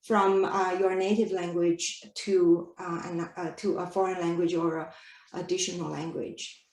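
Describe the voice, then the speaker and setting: A woman's voice: lowish voice, little pitch movement, unhurried, darkish tone. Hesitant woman moderator in an echoey room. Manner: hesitant, many fillers, polite questions. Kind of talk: panel discussion. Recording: roomy echo.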